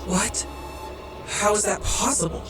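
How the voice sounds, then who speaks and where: A man's voice: sits high, unhurried. A man in a radio drama playing a weary, blunt, exasperated agent who bends reality for his creator. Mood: frustrated. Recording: echoing space, loud music underneath.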